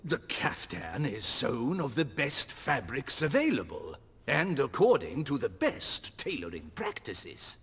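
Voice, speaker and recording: An actor playing a haughty, fussy master tailor in a fantasy video game, pompous and prim. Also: male voice, mid-range voice, wildly swooping pitch, a bit bright, husky, long reverb tail, exaggerated voice. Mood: offended, snobbish. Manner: pompous, prim, slow and affronted.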